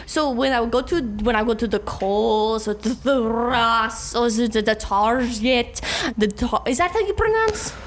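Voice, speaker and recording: A woman's voice: a little high, lively intonation. A woman voicing a huge, proud, dim-witted foreign man who speaks in broken English with a put-on accent. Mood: boastful. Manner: boastful, drops articles, slow emphatic delivery. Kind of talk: improvised comedy podcast.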